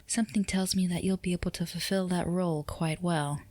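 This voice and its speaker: A dominant, flirtatious female boss, acted by a woman in a roleplay ASMR drama. Her voice is low and soft, dipping into whispers, and recorded very dry and close. She sounds teasing, self-assured and used to being obeyed. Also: steady tone, fairly quick, dry recording, lightly acted. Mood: confident, suggestive.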